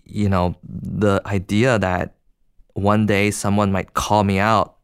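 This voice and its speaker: A man's voice: on the low side, flat delivery, takes it slowly, clear, resonant tone, no room sound, studio quality. A young man from China co-hosting a podcast, explaining ideas in a thoughtful, conversational way. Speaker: podcast co-host.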